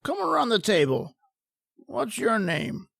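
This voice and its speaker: A woman playing a knowing, wry morgue attendant with a deliberate, drawn-out delivery in a 1940s radio drama. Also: on the high side, very animated, gravelly, fairly bright tone, dry recording, clean studio sound, full character voice. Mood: wry, matter-of-fact.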